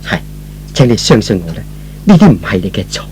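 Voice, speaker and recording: A man's voice: on the low side, very animated, brisk, a little mellow, a little hiss or hum, pitched below the performer's own voice. A man playing a devoted, tender young man in love, earnest and sentimental, in an old radio melodrama. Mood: reassuring.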